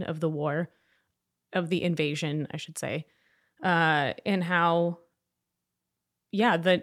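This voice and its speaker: A young woman from Ukraine, a guest in a casual interview, talking chattily with frequent laughs and fillers. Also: lowish voice. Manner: chatty, laughs often, many fillers like "you know".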